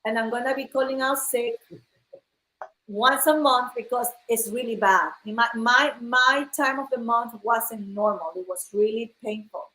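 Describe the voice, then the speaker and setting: A woman from Ecuador tells a personal story on a live stream, earnest and direct with her viewers. Her voice sits a little high. The room around her rings with echo. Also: unhurried, sharp tone. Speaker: host. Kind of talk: casual live-stream chat. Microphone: phone live stream.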